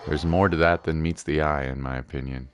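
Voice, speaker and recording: A man streaming a game, giving casual, rambling commentary and thinking out loud in a chilled way. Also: very deep voice, steady tone, a little fast. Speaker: gaming streamer. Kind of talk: casual live game commentary, musing aloud. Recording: game music behind the voice.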